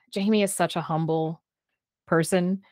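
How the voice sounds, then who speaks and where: Low-pitched woman, animated and informal. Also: slow pace, no room sound, clean studio sound. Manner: animated, informal, peppered with "like" and "you know". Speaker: guest, writer and podcast creator. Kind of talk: casual livestream conversation. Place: small book-lined room indoors, on a video call.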